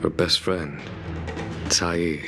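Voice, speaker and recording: A man playing a calm, wryly composed general in a video game, speaking in a measured, courtly way. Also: low voice, full character voice. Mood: grave, measured. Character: calm, wryly amused male military general. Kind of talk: scripted video game dialogue. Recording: music behind the voice.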